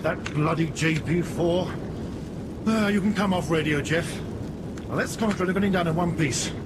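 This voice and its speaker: Airline captain played by a male actor: commanding, clipped, slightly high male voice, seventies radio drama, loud aircraft rumble. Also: moderate pace, lightly acted. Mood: grim, decisive. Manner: terse, authoritative. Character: commanding airliner captain facing a ditching, curt and decisive. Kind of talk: 1970s radio drama acting. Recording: heavy aircraft engine rumble behind.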